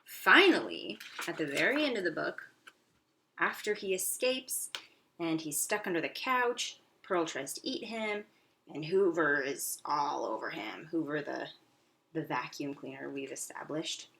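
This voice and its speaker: A woman's voice: sits low, lively intonation, unhurried, gravelly, sharp tone. A woman teaching young pupils in a read-aloud lesson, encouraging and instructional, with simple phrasing and frequent questions. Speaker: elementary school teacher. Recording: noisy recording with clicks.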